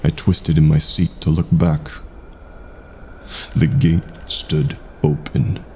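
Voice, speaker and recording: A man reading a scripted first-person horror story as narrator, in a hushed manner with dramatic pauses. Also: slight background noise. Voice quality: very deep, gravelly, breathy.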